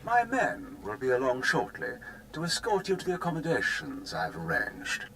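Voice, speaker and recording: An actor playing a smug, mockingly courteous military commander in a video game, speaking in a suave, formal, menacing way. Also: male voice, a little high, big pitch swings, moderate pace, creaky, pushed and bright, a little hiss or hum, full character voice. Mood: mockingly polite. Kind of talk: scripted video game cutscene acting.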